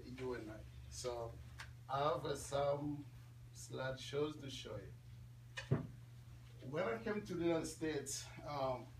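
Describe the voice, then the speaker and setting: A man with a Congolese accent gives an informal talk as a guest speaker, in English he learned later. He is conversational and repeats phrases for emphasis. The recording is roomy, picked up from a distance, with clear noise behind him. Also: mid-range voice. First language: not English.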